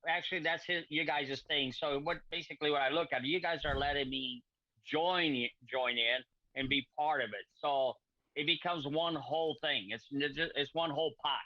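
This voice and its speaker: A middle-aged man from Portugal, a repair shop owner and guest on a video call, speaking in halting, repetitive friendly sentences. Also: on the high side, steady tone, dry recording. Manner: halting, repeats words, friendly. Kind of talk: casual video-call chat. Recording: dull lo-fi video-call audio.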